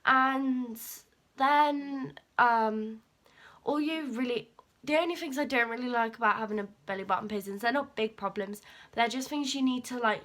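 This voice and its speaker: A teenage girl, chatting casually to camera in a vlog and rambling with fillers, her voice a bit croaky from a cold. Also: fairly high pitch, moderate pace, a bit bright. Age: teens. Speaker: vlogger.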